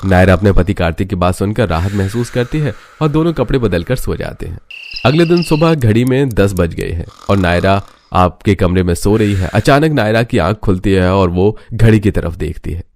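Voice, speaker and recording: A man with a low voice narrates a family cartoon story. The delivery is scripted storytelling. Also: moderate pace, darkish tone, slight background noise.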